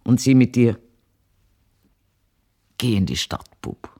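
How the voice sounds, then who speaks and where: An old matriarch with hugely varied, swooping intonation. She is haughty and dry, firing curt, probing questions in a deliberate radio-play delivery. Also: rumbling low, expressive, dark tone, full character voice. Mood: resigned, practical. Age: older. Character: imperious old Viennese mother, dry and sharp-tongued. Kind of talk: radio drama acting.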